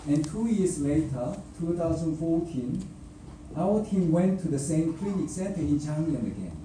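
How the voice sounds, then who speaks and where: Man preaching, dark mellow voice, slow and deliberate, echoey hall, away from the mic. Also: a little high, animated, distant mic, a little hiss or hum. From raised by a North Korean father. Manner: slow, deliberate delivery with pauses and filler words. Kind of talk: sermon with a personal mission story.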